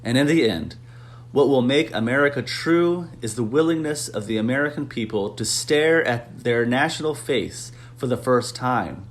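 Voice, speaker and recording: A man's voice: mid-range voice, normal speed. Man, mid-range voice, solemn essay reader. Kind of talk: reading an essay aloud.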